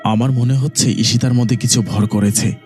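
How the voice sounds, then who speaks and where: A man's voice: mid-range voice, steady tone, moderate pace, a little mellow, soft background music, close to an everyday voice. A man voicing an anxious, earnest young village son who narrates and acts in a horror cartoon. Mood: urgent, pleading. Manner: earnest, pleading.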